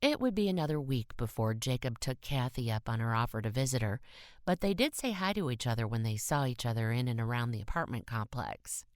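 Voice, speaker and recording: Low-pitched woman narrating with lively intonation. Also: fairly quick, no echo, clean studio sound. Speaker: audiobook narrator. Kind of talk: scripted short story narration.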